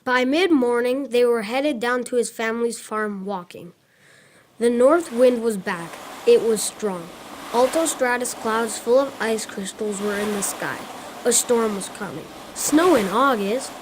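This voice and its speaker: A woman's voice: on the high side, measured pace, natural voice. A young schoolgirl giving scripted narration for a radio drama. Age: child, about 10. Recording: clearly noisy ambient sound.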